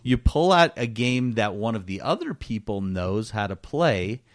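A man's voice: lowish voice, big pitch swings, a little slow. A man co-hosting a gaming podcast in casual, joking chat, giving slangy mock instructions. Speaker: podcast co-host.

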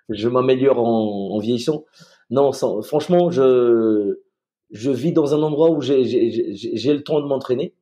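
A man in his forties from Madagascar, a retired soldier, talking in an easygoing way as a podcast guest over a video call. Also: medium pitch. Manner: relaxed, easygoing, repeats words as he starts. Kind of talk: casual interview chat. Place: home room, video call.

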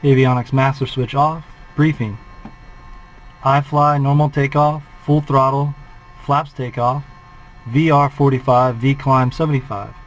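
A man's voice: mid-range voice, fairly even intonation, measured pace, a little hiss or hum, low-quality recording. A flight instructor in his forties, calling out a preflight checklist in a methodical, clipped way from a small plane cockpit on a headset.

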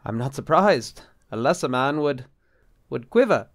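An actor playing an anxious, exasperated bishop in an audio drama, formal and hesitant in manner. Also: male voice, medium pitch, animated, unhurried, no room sound, clean studio sound. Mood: flustered, nervous. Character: anxious, exasperated, skeptical married male bishop. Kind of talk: radio-play style drama acting.

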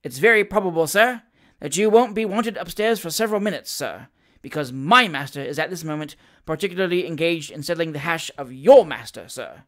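A man's voice: high voice, lively intonation, a little fast, fairly bright tone. A man voicing a pompous, affronted manservant in a storybook, solemn and over-formal, proud of his own eloquence. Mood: solemn challenge.

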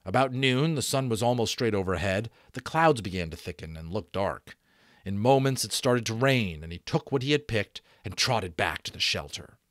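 Expressive male storyteller, widely varied pitch. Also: no echo, clean studio sound. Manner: expressive, deliberate pauses and stressed repeats. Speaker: audiobook reader. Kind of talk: dramatic novel narration. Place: small room at home. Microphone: desk microphone close in front.

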